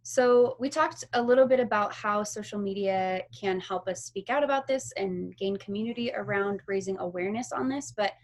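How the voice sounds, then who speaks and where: A young woman moderates a discussion panel. She asks her questions in a clear, measured way. Her voice sits in a middle range. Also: medium pitch. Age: young adult.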